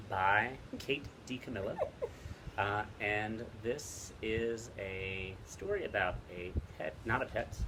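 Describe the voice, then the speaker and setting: Middle-aged man, fairly deep voice, casual book talk, halting delivery, outdoors, clear wind noise on the mic. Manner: halting, pausing mid-sentence. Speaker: teacher and read-aloud co-host. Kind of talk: casual book recommendations and reading aloud.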